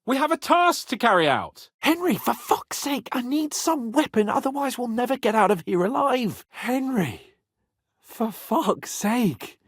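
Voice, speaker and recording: An actor playing a haughty, brash young medieval nobleman in a video game, cocky and teasing, witty, hot-tempered and quick to swear. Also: extremely high pitch, very animated, a bit bright, slightly rough, dry recording, studio quality, heavily acted. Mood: exasperated, urgent. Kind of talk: dramatic video game voice acting.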